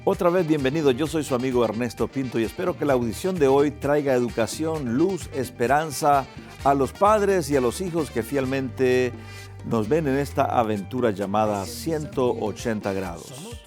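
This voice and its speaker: A man's voice: mid-range voice, animated, normal speed, a little mellow, soft background music. A man hosting a family counseling show, speaking to listeners in an earnest, preacher-like way and then interviewing a guest. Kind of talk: reflective monologue and interview.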